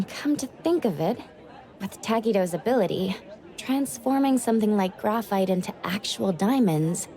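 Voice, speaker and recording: A woman's voice: mid-range voice, dark tone. A woman playing a polite, level-headed young woman in an anime game, asking thoughtful questions courteously. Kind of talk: anime game dialogue acting. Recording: music and faint crowd behind.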